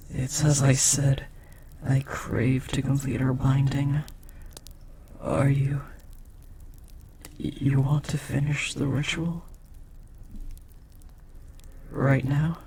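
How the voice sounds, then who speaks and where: Man playing a devoted demon lover, gravelly voice. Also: mid-range voice, warm tone, slight background noise. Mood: eager, reverent. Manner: formal, earnest, hesitant and breathy. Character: devoted, lovestruck powerful demon bound to a sorcerer. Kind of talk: intimate audio roleplay acting.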